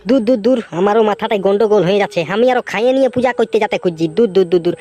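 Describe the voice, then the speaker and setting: A woman's voice: mid-range voice, racing, loud music behind the voice, close to an everyday voice. A woman voicing a grumbling, rambling village priest in a comic cartoon, repeating phrases in a Purulia Bengali accent. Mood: exasperated. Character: grumbling, hungry village priest, henpecked husband.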